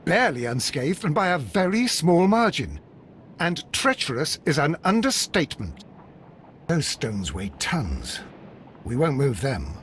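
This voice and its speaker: A fussing sidekick in a Victorian detective game. His fairly high voice moves with lively emphasis, over a faint rumble of noise. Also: unhurried. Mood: exasperated. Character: concerned, grumbling Victorian gentleman companion to a detective. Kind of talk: scripted video game voice acting.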